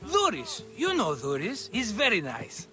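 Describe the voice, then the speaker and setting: A man's voice: high voice, wildly swooping pitch, a little slow, bright, forceful tone, full character voice. An actor playing a cheerful, scheming merchant in a video game, with theatrical, ingratiating salesman patter. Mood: wheedling, upbeat. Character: cheerful scheming male merchant, wheedling and excitable. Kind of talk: video game character acting.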